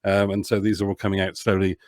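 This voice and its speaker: A man's voice: sits low, completely flat delivery, fast, darkish tone, no room sound, clean studio sound. A man chatting casually as an interview guest, hesitant and rambling, often interrupting himself. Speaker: podcast guest, author and producer.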